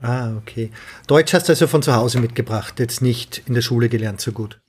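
A man's voice: mid-range voice, fairly quick, slightly rough. A man interviewing a guest in casual German conversation, friendly and laughing along.

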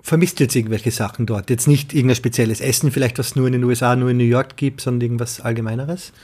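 A man's voice: mid-range voice, fairly even intonation, fast, a little mellow, husky. A man interviewing a guest in casual German conversation, friendly and laughing along.

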